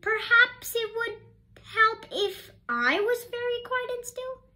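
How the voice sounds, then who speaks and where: An eager little schoolgirl from a storybook, played by a woman reader, helpful and proud. Her voice is very high and leaps about as she makes her announcements. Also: animated, takes it slowly, bright, forceful tone, full character voice. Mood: hopeful.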